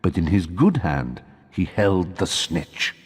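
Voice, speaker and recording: A man's voice: deep voice, big pitch swings, slow pace, husky, big-room sound. A man narrating a scripted story for a video game as its storyteller.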